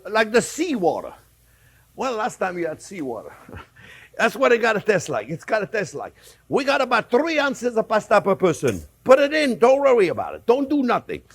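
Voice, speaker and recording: Chatty French chef, lively husky voice. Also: male voice, high voice. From France. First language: Italian. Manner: chatty, enthusiastic, addresses viewers as friends. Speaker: chef host. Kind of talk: cooking demonstration. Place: home kitchen.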